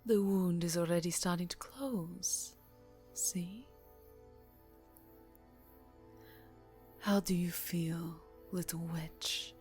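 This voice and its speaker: A woman playing a seductive, predatory yet tender vampire countess in a whispery ASMR roleplay, breathy, intimate and coaxing. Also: on the low side, slow pace. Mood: tender, pleased. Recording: soft music behind the voice.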